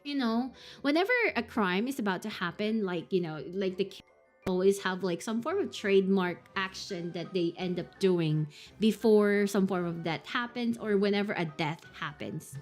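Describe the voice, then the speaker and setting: A woman's voice: mid-range voice, moderate pace, faint music behind. A young woman streaming a visual novel, reading it aloud and chatting casually with frequent fillers. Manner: rambling, giggly, lots of fillers.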